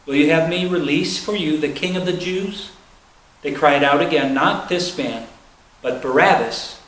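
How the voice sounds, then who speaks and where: Higher-voiced man reading scripture, roomy echo. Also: unhurried, fairly bright tone. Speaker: scripture reader. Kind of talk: solemn scripted reading of a Bible narrative. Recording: roomy echo, faint background noise.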